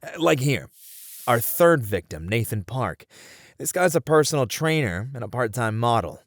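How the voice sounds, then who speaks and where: A man's voice: medium pitch, sing-song, moderate pace, no echo, clean studio sound, lightly acted. A man acting as a weary detective in an ASMR roleplay, musing conversationally over a murder case in a casual way. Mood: wry. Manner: casual, musing, sighing delivery. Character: weary male detective puzzling over a serial killer case.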